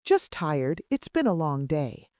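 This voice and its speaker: A woman's voice: deep voice, very animated, measured pace, a little mellow, no echo, studio quality. A woman voicing a guarded, weary young woman journalist in an audiobook, polite and subdued.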